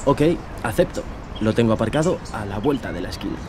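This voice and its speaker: Actor playing a charming, lively young male student. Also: on the low side, fairly quick, natural voice. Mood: playful charm. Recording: noisy outdoor street ambience.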